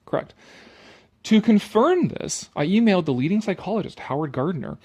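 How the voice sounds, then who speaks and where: A man's voice: high-pitched, animated, moderate pace, a little mellow, husky. A man reading an article aloud with casual, sarcastic commentary, blunt and dismissive, prone to swearing. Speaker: online commentator.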